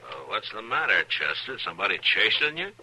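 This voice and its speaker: Laconic western marshal, American accent, low voice, quick clipped pace, dry teasing manner, old radio recording. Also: male voice, very animated, pushed and bright, husky, exaggerated voice, low-quality recording. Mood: dryly teasing. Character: laconic, steady Old West lawman, gently teasing. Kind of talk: 1950s radio western acting.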